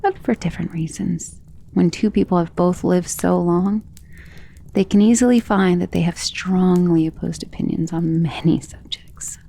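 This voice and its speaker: A woman playing a centuries-old, tender and protective vampire in an intimate ASMR romance roleplay, speaking softly and earnestly, often in a whisper. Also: on the low side, normal speed. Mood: wistful, hesitant. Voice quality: soft, breathy, often dropping to a whisper.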